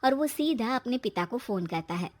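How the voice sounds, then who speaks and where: A woman's voice: fairly high pitch, expressive, moderate pace. A woman reading scripted narration as the narrator of a story.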